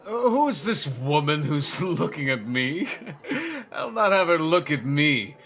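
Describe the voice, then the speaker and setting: An actor playing a fanatical prophet raging against sin, declaiming in an oratorical classical audio drama style. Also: male voice, fairly high pitch, big pitch swings, a little slow, a bit bright, exaggerated voice. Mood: scornful. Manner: ranting, oratorical, archaic solemn delivery.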